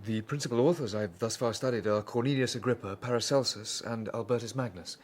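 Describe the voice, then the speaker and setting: A man's voice: mid-range voice, brisk, natural voice. A man playing an earnest, studious young student telling his own story in a dramatised audiobook. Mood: polite, nervous. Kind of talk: dramatised first-person narration.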